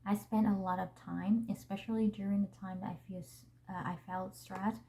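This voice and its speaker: A young woman with a Vietnamese accent, whose first language is Vietnamese, hosting a vlog and talking to the camera in a gentle, earnest and reflective way. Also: medium pitch, steady tone, normal speed. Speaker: vlog host.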